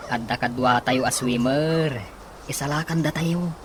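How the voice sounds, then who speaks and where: A man's voice: mid-range voice, unhurried, noisy background, natural voice. A man acting an eager, encouraging young office buddy in an Ilocano radio drama, coaxing and chummy in an Ilocano accent. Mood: reassuring.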